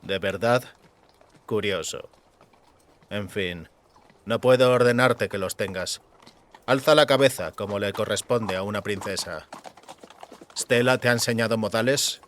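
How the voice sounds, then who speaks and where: A man's voice: fairly low pitch, measured pace, pauses often, a bit bright. A man playing a stern, imperious emperor in an audiobook, speaking in a clipped, bitter, commanding way. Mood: imperious, questioning. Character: stern, terrible male emperor, imperious and impatient.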